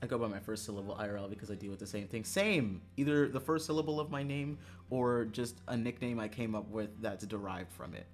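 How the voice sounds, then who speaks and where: A livestreamer chatting casually to viewers in an animated, exasperated way, swearing and laughing often. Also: mid-range voice, fairly quick, hardly any pauses, soft background music. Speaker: livestreamer, gaming streamer.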